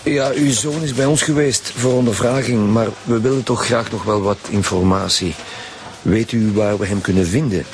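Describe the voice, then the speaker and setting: A man's voice: fairly low pitch, fairly even intonation, normal speed, close to an everyday voice. A man playing a dogged, blunt middle-aged lead detective with a Flemish accent in a radio drama. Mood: businesslike. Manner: blunt, commanding. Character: dogged, brisk male lead detective of a homicide squad.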